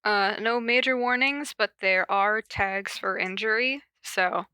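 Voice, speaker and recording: Bright-voiced, unhurried woman chatting casually. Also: mid-range voice, clear, resonant tone, no echo. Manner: giggly, self-mocking, informal. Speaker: podcast host introducing fan fiction readings. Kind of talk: casual chat with read-out summaries.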